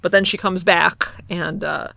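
A woman's voice: on the low side, fairly even intonation, a little slow, gravelly, sharp tone, dry recording. A woman co-hosting a podcast, casually recapping a comic book in a wry, playful, loosely improvised way. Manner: wry, playful, often laughing. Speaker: podcast co-host.

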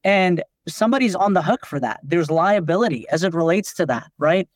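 A man's voice: high voice, lively intonation, fairly quick, dry recording. A man from Nepal, a company founder, explaining things in a podcast interview with lots of "like" fillers. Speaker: company founder and CEO, guest.

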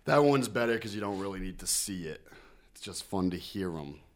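A man's voice: sits low, expressive, fairly quick. A man co-hosting a podcast, laid-back and crude in casual chat. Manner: laid-back, crude, drops into mock voices. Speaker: podcast co-host.

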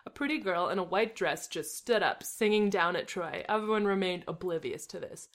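A woman reading a fan story aloud for laughs, with deadpan asides and frequent laughter. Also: on the low side, normal speed. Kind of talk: comedic dramatic reading with banter.

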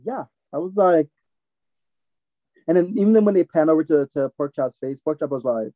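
A man with a Jamaican accent in casual chat, retelling his reactions in an animated way, on a slightly lo-fi recording. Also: on the high side, a little fast, dry recording.

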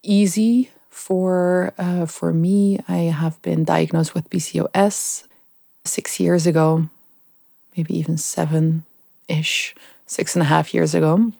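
A young woman hosting her own podcast, talking candidly and haltingly while nasal and stuffy from a flu. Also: lowish voice, gravelly, mellow, no room sound, studio quality. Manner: candid, halting, with many pauses and "yeah"s. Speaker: podcast host. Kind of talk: casual personal podcast monologue.

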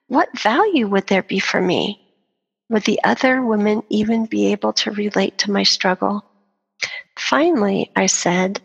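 Older woman, husky gravelly voice, earnest inspirational storyteller, long reverb. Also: mid-range voice, a bit bright. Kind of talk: reading a personal story aloud.

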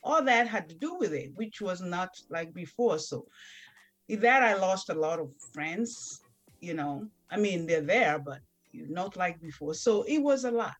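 A woman's voice: on the low side, expressive, a little slow, faint music behind. A middle-aged woman whose first language is not English, a nurse and author, talking in an interview in an emphatic, determined way. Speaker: guest, night-shift nurse and author. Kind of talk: interview conversation.

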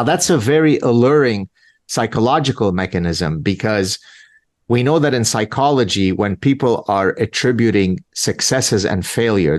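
A lowish-voiced man from Lebanon whose first language is Arabic, in his fifties. He lectures emphatically in an interview, telling personal stories and pressing his points with rhetorical questions. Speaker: guest commentator. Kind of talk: interview answer, storytelling.

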